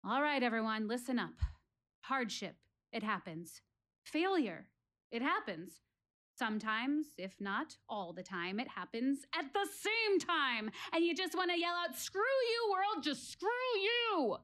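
An actress playing a candid, wry, exasperated woman presenting life's questions in a theatrical one-woman audio play, addressing her audience emphatically with dramatic pauses. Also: high-pitched, sing-song, a bit bright, exaggerated voice. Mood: forceful, frustrated.

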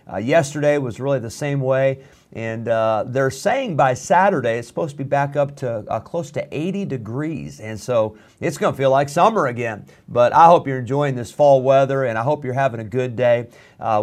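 A man's voice: mid-range voice, darkish tone. A man, a pastor hosting his church's radio broadcast, making friendly, rambling announcements with many fillers. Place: church studio room.

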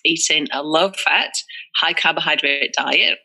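Guest voice: Northeast English woman, forties, chatty, sharp bright tone. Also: sits low, unhurried, creaky, slightly rough, poor audio. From northeast England. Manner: chatty and candid, many fillers and restarts. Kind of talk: casual conversational interview.

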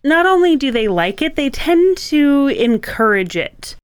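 A woman's voice: high voice, big pitch swings, a little slow, no echo. A woman co-hosting a podcast, talking conversationally as she explains a craft. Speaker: podcast co-host.

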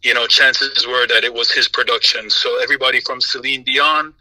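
A man from Jamaica and Trinidad who grew up in the United States, talking casually as an interview guest in a relaxed, conversational way. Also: medium pitch, fairly quick, dry recording, rough recording. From Jamaica and Trinidad, raised in the United States. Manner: relaxed, conversational, with frequent "you know". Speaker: guest, music industry professional.

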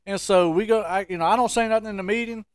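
A man with a fairly high-pitched voice tells stories as a podcast co-host. He is colloquial and emphatic, repeating phrases for comic effect. The sound is dry, with very little room. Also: quick pace, clear, resonant tone, dry recording. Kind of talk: casual comic storytelling.

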